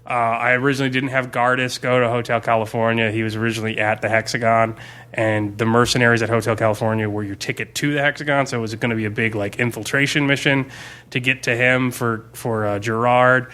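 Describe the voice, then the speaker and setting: Man, bright voice, casual podcast chat, informal rambling storyteller, game master. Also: mid-range voice, level tone, quick pace. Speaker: game master discussing a campaign he ran.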